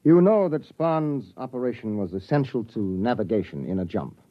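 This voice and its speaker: An American man playing a grave, measured, authoritative spaceship captain in 1950s radio drama style. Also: mid-range voice, big pitch swings, lightly acted. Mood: subdued. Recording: muffled vintage 1950s radio recording.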